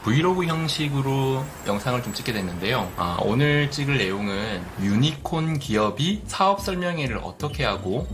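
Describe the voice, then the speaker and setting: A man's voice: medium pitch, animated, moderate pace, few pauses, music in the background. A middle-aged man from Busan with a light Busan accent, giving direct, earnest business advice in a vlog. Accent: light Busan dialect. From Busan, South Korea. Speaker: business YouTuber.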